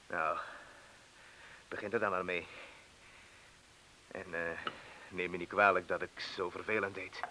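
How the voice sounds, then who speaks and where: A man's voice: low-pitched, flat delivery, unhurried, long pauses, bright, forceful tone, rough recording. A male actor playing a trapped, frightened and impatient petty criminal in a radio drama, agitated and needling, moving between mutters and outbursts. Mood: tense, subdued. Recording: hissy old radio play recording.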